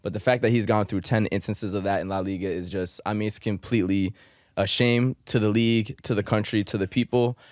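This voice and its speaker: A man's voice: on the low side, steady tone, brisk, dry recording. Man, heated, emphatic, slangy, casual podcast co-host, dry close sound. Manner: heated and emphatic, keeps saying "bro". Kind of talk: casual football podcast chat.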